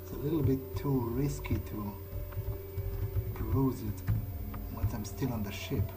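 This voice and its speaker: A man's voice: mid-range voice, a little slow, very noisy, lightly acted. A man roleplaying a polite, hesitant vampire, thinking aloud in character as he plays a video game. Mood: musing. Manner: hesitant, musing. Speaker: gameplay host speaking as his player character. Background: loud game music.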